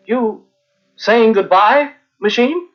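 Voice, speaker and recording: A man's voice: high voice, takes it slowly, faint music behind. An actor playing a meek, resigned man who hesitates and stammers, in an old-time radio drama. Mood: forlorn, wistful. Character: meek, flustered, resigned man befriending a machine. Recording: muffled old radio recording from cassette.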